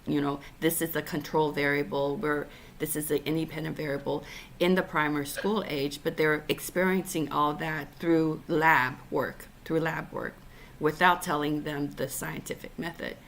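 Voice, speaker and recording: A woman from Taiwan hosting a casual conversation over a video call, talking in halting bursts full of fillers and restarts. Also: sits low, fairly even intonation, fairly bright tone, a little hiss or hum. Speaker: podcast host.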